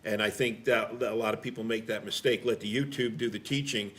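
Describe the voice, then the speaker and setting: A man's voice: on the low side, fairly even intonation, a little fast, fairly bright tone. A middle-aged man, a firefighter, chatting casually as a guest in an emphatic, rambling way. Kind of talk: casual chat.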